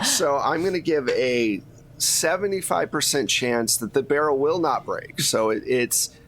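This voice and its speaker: A man's voice: medium pitch, lively intonation, measured pace, a bit bright. Man running a tabletop game, some background noise. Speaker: game master. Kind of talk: narrating a tabletop role-playing game.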